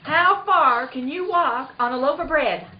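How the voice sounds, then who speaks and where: A woman's voice: high-pitched, a little slow. A woman playing an eager, teasing little boy with riddles in an old-time radio skit. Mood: cheeky. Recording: roomy, dull lo-fi recording.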